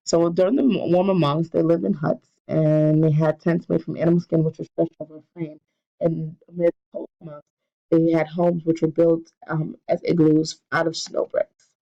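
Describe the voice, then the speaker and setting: A young woman presents her class essay while stuffy from a cold. Her voice has a gravelly edge, and she stumbles through restarts and fillers. The recording sounds dull and lo-fi. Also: low-pitched, flat delivery, moderate pace, mellow. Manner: hesitant, many fillers and restarts. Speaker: student presenter.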